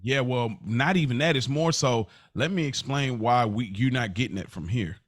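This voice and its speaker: A man's voice: mid-range voice, normal speed, no room sound, clean studio sound. A man co-hosting a podcast in casual, slangy talk, often repeating and rephrasing himself as he explains things. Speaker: podcast co-host. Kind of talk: casual chat.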